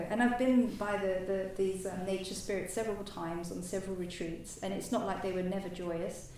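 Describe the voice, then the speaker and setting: A woman whose first language is French gives a halting personal talk as a new Buddhist order member, full of fillers and restarts. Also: medium pitch, darkish tone. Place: meditation centre hall. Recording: echoey hall, distant mic, faint noise.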